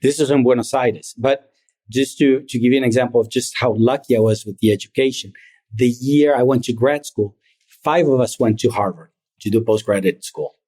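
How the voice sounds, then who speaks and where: Mellow-voiced Argentine-born man, reflective. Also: medium pitch, breathy edge. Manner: reflective, measured storytelling. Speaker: private equity founder, guest. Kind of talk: interview conversation.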